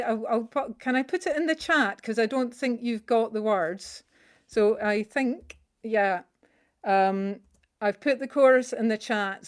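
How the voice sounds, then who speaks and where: A Scottish woman talks over a video call before she sings. Her voice is smooth and clear, and her chatty, halting speech keeps stopping and restarting. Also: medium pitch. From Scotland. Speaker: singer sharing a song. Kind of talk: casual introduction at an online song swap.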